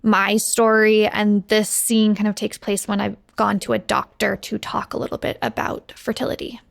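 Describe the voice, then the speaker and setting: An American woman in her thirties with noticeable vocal fry speaks as a podcast guest. Her pitch is average and her delivery is conversational. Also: level tone, moderate pace. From Seattle, United States. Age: 30s. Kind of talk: conversational interview.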